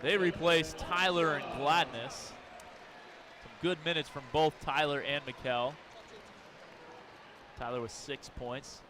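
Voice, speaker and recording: A man calling live basketball play-by-play for radio in clipped descriptive phrases, quick to get excited. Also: on the high side, crowd noise. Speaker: play-by-play announcer. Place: courtside in an arena. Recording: noisy gym with bouncing balls. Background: basketball game arena noise.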